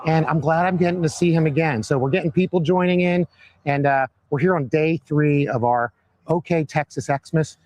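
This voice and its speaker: A hoarse man hosting a live-stream interview outdoors, upbeat and fond of repeating phrases for emphasis. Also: fairly high pitch, normal speed, darkish tone. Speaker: host. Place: outdoors at a Christmas light display by a road.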